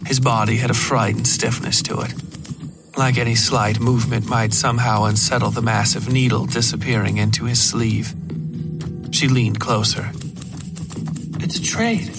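A man's voice: medium pitch, little pitch movement, normal speed. A man reading scripted horror fiction as the narrator of an audio story. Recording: prominent music under the voice.

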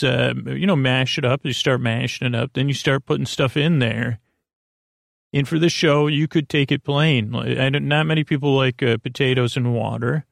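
A man with a heavily creaky, gravelly voice, recorded close and dry. He hosts a rambling bedtime podcast, improvising as he goes, halting often, circling back and leaving thoughts unfinished. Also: mid-range voice, dry recording. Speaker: podcast host.

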